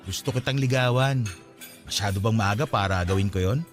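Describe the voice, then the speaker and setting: An actor playing an earnest, eager suitor on a date in a radio drama, speaking conversationally with a Filipino accent. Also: male voice, on the low side, fairly quick, soft background music, natural voice. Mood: earnest, hopeful. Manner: courting, conversational.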